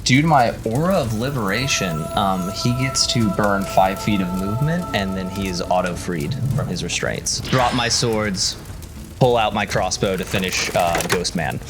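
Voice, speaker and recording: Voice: sits low, normal speed. A player in a tabletop role-playing game, chatting casually and describing actions, with music behind. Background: background music score.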